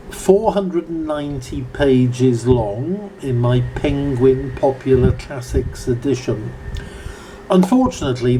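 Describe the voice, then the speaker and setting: A man in his seventies with a southern English accent, reading an informal lecture from notes in a measured, bookish way with formal phrasing. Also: mid-range voice, noisy background. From Berkshire, southern England. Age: 70s. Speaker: amateur book reviewer giving an informal lecture.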